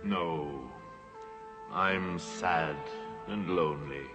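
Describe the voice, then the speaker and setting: Noble lion king: low-pitched, stately and solemn, gentle but sad, fantasy drama with clear music underneath. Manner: grave, measured, stately. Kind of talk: dramatic fantasy film acting.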